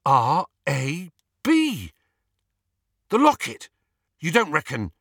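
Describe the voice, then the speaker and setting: A man's voice: a little high, very animated, normal speed, pushed and bright, breathy edge, dry recording, studio quality, pitched above the performer's own voice, full character voice. A man voicing a blunt, easily startled teenage boy wizard in a storybook, with a blurting, incredulous manner. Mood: startled realisation.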